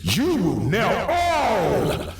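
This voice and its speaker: A cavernous echo surrounds this ancient, contemptuous fiend of darkness. The creature delivers grandiose threats very slowly, with a gravelly creak, in audio drama style. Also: male voice, high voice, wildly swooping pitch, exaggerated voice. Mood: menacing. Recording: distant, cavernous echo with noise.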